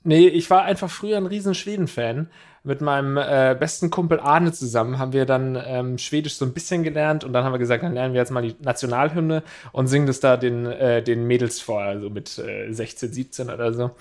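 A man's voice: medium pitch, fairly even intonation, fairly quick, darkish tone. A man co-hosting a casual chat podcast from his home, easygoing and chatty. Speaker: podcast co-host.

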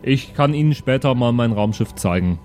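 A man voicing a naive, blunt, childlike oddball with a deadpan, literal-minded way of talking in an improvised audio play. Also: mid-range voice, normal speed, faint music behind, close to an everyday voice. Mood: nonchalant.

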